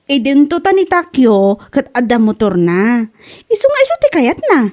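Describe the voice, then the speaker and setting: A woman's voice: sits high, very animated, normal speed, a little mellow. A woman with an Ilocano accent playing a blunt, skeptical older sister who nags, in a comedy audio drama. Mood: blunt, teasing.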